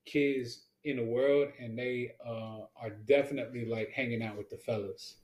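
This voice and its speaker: A man's voice: medium pitch, steady tone, normal speed, darkish tone. A man in his thirties, co-hosting a casual podcast chat, talking in a laid-back, slangy way and laughing often. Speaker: podcast co-host. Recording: echoey room.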